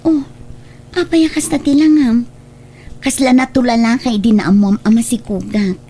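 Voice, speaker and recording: A woman playing a tender, pleading young woman wronged by her lover, in slow, emotional radio drama style. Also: on the high side, steady tone, mellow, husky. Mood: puzzled, shocked.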